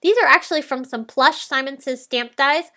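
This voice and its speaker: Woman with a head cold, nasal and stuffy, high bright voice walking thru a card craft step by step. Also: normal speed. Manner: calm explanatory walkthrough. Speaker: card-making tutorial host.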